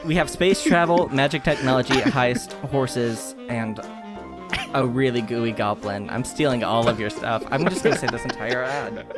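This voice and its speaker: A woman's voice: very deep voice, normal speed. A woman giving an informal podcast promo as one of the cast. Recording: loud music bed under voice. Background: loud music.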